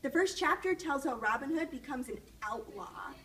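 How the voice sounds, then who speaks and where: High-voiced woman reading a storybook aloud. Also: lively intonation, moderate pace, pushed and bright. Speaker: student teacher reading aloud to children. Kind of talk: children's storybook read-aloud with questions. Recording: distant mic with faint background noise.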